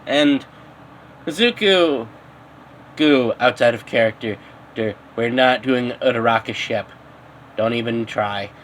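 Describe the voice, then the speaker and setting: A man with a fairly high, bright voice narrates an improvised fan story. He goes slowly and haltingly, stumbling and repeating words, with faint noise in the background.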